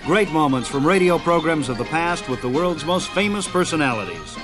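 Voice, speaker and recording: A man's voice: a little high, big pitch swings, a little fast, over music. A man presenting a radio program as host, in a scripted announcing style.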